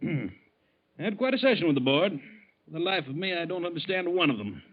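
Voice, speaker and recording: An actor playing a reluctant, evasive company president in 1940s radio drama style, speaking formally and hesitantly. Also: male voice, on the high side, big pitch swings, brisk, lo-fi sound. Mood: grave. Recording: scratchy, phone-thin 1940s radio recording.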